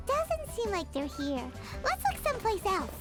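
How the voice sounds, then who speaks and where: A woman voicing a tiny, childlike, bubbly fairy companion with a squeaky, chirpy voice in a video game. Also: big pitch swings, moderate pace. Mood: cheerful, eager. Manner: chirpy, exclamatory. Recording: music behind the voice.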